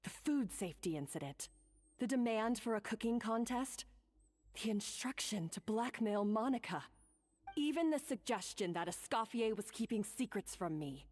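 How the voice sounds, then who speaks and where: An actress playing a composed, accusing woman in a video game, speaking in a deliberate, pointed way. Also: fairly high pitch, animated, darkish tone. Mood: coolly accusing. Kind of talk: video game voice acting, dramatic monologue. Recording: long hall reverb.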